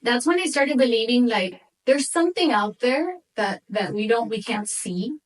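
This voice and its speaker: A young woman from Venezuela who moved to the United States as a child, telling stories casually as an interview guest, with animated informal talk and Spanish words dropped in. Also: fairly high pitch, normal speed, recorded from a distance. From Venezuela, moved to the United States at eight. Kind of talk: casual conversational storytelling.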